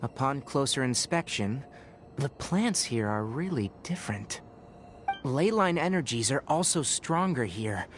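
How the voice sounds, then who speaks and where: An actor playing a calm, methodical expedition leader in an anime video game, speaking in a measured, formal way. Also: male voice, medium pitch, soft background music, lightly acted. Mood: composed.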